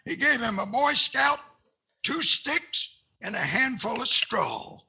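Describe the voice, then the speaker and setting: An older man acting a blustering, folksy Southern senator in 1940s radio comedy style, raspy and prone to repeating himself. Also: very high-pitched, expressive, slow, sharp tone, full character voice. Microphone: headset on a video call.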